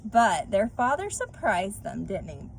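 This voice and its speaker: A woman reading and discussing a children's story like a teacher, expressively and speaking directly to young listeners, with clear background noise. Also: medium pitch, wildly swooping pitch, measured pace, poor audio. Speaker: read-aloud teacher. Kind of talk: children's story read-aloud with questions to listeners.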